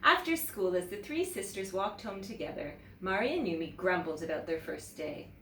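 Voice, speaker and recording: Expressive woman reading in a roomy space. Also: lowish voice. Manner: expressive, pauses to ask listeners questions. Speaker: teacher reading a picture book aloud. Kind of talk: animated children's storytime read-aloud. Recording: roomy echo, distant mic, faint noise.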